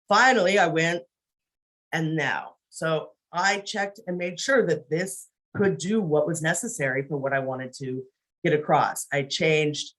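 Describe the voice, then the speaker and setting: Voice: medium pitch, fairly bright tone, poor audio. A presenter giving an informal screen-share training on a video call, talking conversationally and often checking in with the listeners by saying "right?".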